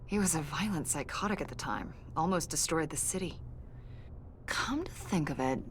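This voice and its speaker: An actress playing a confident government agent in a video game, questioning in a pointed, insinuating and accusatory way. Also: female voice, low voice, expressive, normal speed, a little hiss or hum. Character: probing female government agent, confident, accusatory, smoothly needling. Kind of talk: video game dialogue acting.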